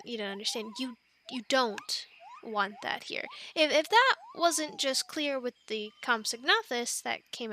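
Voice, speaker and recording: A woman's voice: high voice, full character voice. A woman playing a quirky, awkward young animal scientist stranded on an island, stammering and rambling in an ASMR audio roleplay. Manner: stammering, rambling, flustered, urgent hushing in whispers.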